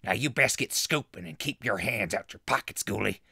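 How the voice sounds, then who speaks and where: A raspy, forceful hillbilly hermit in a post-apocalyptic radio comedy. He has a rural Southern accent and threatens in folksy, broken grammar, with lively swings of pitch. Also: a little fast, no room sound, studio quality, exaggerated voice. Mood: menacing. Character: hot-tempered backwoods hillbilly hermit with an old rifle. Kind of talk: post-apocalyptic comedy audio drama acting.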